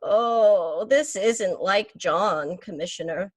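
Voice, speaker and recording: An actress playing a fretful, polite, genteel wife in an old-time radio melodrama, worried and breathless. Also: female voice, mid-range voice, takes it slowly, dry recording.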